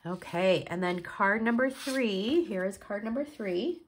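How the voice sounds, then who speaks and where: A woman's voice: mid-range voice, expressive, unhurried, slightly rough. A woman from Canada explaining a card-making project step by step while she works, a little stuffed up from a cold. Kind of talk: crafting tutorial.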